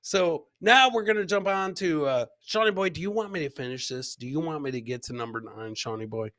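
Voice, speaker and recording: A middle-aged man hosts a casual comedy livestream. His voice is bright and forceful, sitting fairly high, and he repeats phrases and swears casually as he riffs. The sound is dry and close-miked. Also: fairly quick, dry recording. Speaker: podcast host and comedian. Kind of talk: casual livestream banter, reading out chat comments. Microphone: close boom-arm microphone with headset.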